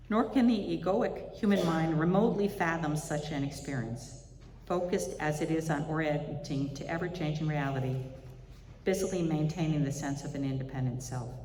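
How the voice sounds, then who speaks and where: A woman with a low voice speaks as if leading a reflection at a chapel service. She reads in a measured, deliberate and contemplative manner. The room is echoey, with faint noise behind her. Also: a little mellow. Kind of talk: reading aloud from spiritual writings to a congregation. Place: large indoor chapel or hall. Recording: echoey hall with reverb, faint background noise.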